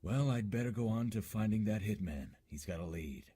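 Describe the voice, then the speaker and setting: Casting: man to play a weary, dogged 1940s radio police detective, very husky, lowish pitch, blunt questioning. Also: expressive, brisk, mellow. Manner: blunt, pressing interrogator. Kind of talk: 1940s radio drama acting.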